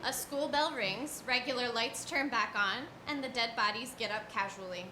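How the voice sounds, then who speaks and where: A woman with a slightly high voice reads stage directions as scripted narration. The room is echoey, with clear noise behind the voice. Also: moderate pace, sharp tone.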